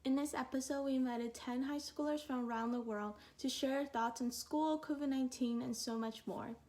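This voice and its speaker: Teen girl hosting, fairly high voice. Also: steady tone, normal speed, a little mellow. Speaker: host. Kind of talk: scripted introductions and prompts.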